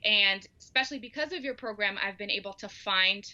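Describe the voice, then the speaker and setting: A woman in her thirties with a Brazilian Portuguese accent, a guest on a podcast. Her voice is very bright and sharp but sounds dull and lo-fi over a remote line. She talks in an eager, rambling way. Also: mid-range voice, fairly quick. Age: 30s. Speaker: guest, mom coach. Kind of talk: casual interview talk.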